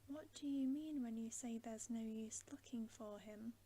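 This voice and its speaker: A woman voicing a distressed, protesting girl in a breathy, dramatic storybook whisper. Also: on the high side, unhurried, mellow. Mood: disbelieving, protesting.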